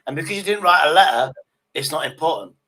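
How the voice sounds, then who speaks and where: A man's voice: fairly high pitch, expressive, quick pace, bright, forceful tone, husky, poor audio. A man in his sixties chatting casually on a livestream, emphatic and often repeating his phrases. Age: 60s.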